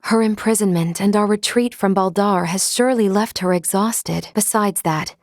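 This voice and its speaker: A woman's voice: mid-range voice, no echo, natural voice. Woman voicing a formal, logical female android, dry sound. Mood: calm, analytical. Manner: formal, precise, measured diction. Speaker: video game voice actress. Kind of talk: scripted video game character lines.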